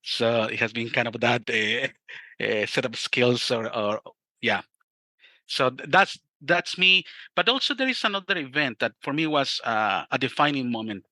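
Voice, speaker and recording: A man's voice: mid-range voice, lively intonation, measured pace, pushed and bright, no echo. A middle-aged man from Mexico with a Mexican Spanish accent, telling his personal testimony in an interview in an earnest, reflective way and often restarting his sentences. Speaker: youth ministry director.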